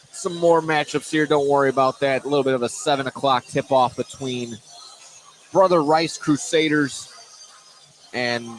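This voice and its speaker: A man doing informal live play-by-play basketball commentary, sometimes stumbling and correcting himself. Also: mid-range voice. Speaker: play-by-play sports announcer. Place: indoor basketball gym. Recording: noisy live gym broadcast.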